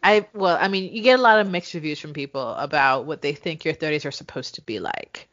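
A woman's voice: sits low, fairly quick, a bit bright, no echo, dull audio. A woman, co-hosting a casual, candid chat and laughing often. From Jamaica. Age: 30s. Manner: candid, laughing, frequent swearing. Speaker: podcast co-host.

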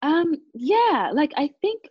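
A woman from Japan and Australia, a native Japanese speaker, talking earnestly and reflectively as an interview guest, in a calm, hesitant way. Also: high voice, slow pace, no room sound, lo-fi sound.

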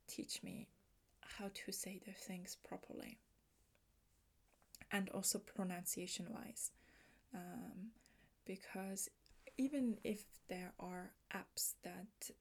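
A woman from Switzerland with a Swiss German accent, a native Swiss German speaker, hosting a podcast in a casual solo talk, soft and often half-whispered, thoughtful with small restarts. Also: medium pitch. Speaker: podcast host.

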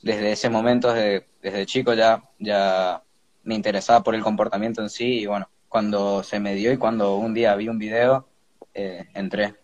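Teenage male, lowish voice, halting delivery, casual chat, hobbyist guest. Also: steady tone, a little mellow, dull audio. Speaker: guest hobbyist ant keeper.

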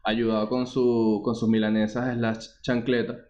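Man, Venezuelan accent, mid pitch, jokey, dull recording. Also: flat delivery, measured pace, a little mellow. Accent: Venezuelan Spanish. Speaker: podcast co-host. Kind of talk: casual chat.

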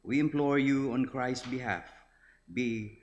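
A man leading the congregation and reading scripture aloud in a measured way. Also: medium pitch, dull audio. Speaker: scripture reader.

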